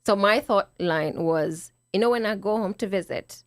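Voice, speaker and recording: A woman's voice: medium pitch, moderate pace, dry recording, studio quality. A middle-aged Kenyan woman, a podcast guest, chatting casually with a slightly nasal voice, often restarting and quoting herself. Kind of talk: casual interview chat.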